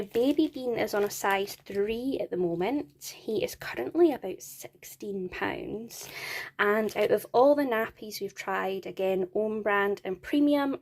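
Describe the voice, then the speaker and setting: A woman's voice: mid-range voice, darkish tone, a little hiss or hum. Chatty Scottish woman vlogging. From Scotland. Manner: chatty and upbeat, with small laughs and "um" fillers. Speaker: parenting vlogger. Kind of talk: casual product walkthrough.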